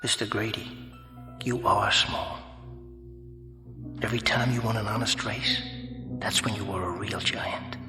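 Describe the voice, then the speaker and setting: Guy doing an eerie bodiless voice of judgment, drenched in reverb, music behind, calm n cold, radio play. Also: lowish voice, lightly acted. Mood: cold, quiet. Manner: calm, measured, knowing. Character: unseen, cold, mocking supernatural voice of judgment. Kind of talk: radio drama acting. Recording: long reverb over music.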